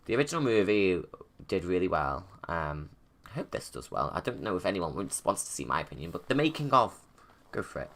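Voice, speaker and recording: Low-pitched man, bright voice, casual rambling commentary. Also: big pitch swings, fairly quick, gravelly, slight background noise. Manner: informal, rambling, thinking aloud. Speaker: video creator giving commentary.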